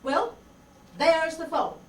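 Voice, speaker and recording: A woman's voice: very high voice, sing-song, slow pace, full character voice. A woman playing an indignant, sceptical married male lawyer in an amateur radio detective play. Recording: distant roomy recording, faint noise.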